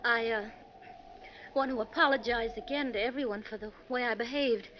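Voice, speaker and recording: Female passenger actress's role, 1940s radio mystery: young, overwrought, earnest, high and dramatic, slightly hissy old recording. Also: moderate pace, natural voice. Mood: upset, apologetic. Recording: muffled, noisy 1949 radio recording.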